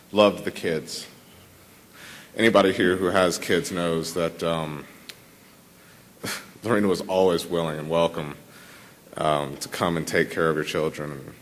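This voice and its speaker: A man giving an informal tribute at a memorial service, halting and repeating words as he speaks. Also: low voice. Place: large room full of people at a memorial service. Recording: reverberant hall.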